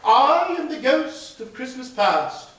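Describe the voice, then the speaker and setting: A solemn, otherworldly spirit, put on by a man, delivers slow, formal pronouncements. The voice sits high and sounds far away, in a very reverberant space. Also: very animated, fairly bright tone, full character voice.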